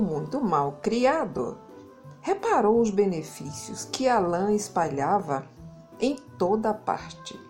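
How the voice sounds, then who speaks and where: A Brazilian woman narrating a children's story in an expressive, dramatic way, leaning on key words. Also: mid-range voice. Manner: dramatic, emphasizes and repeats words. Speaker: children's storyteller, podcast host.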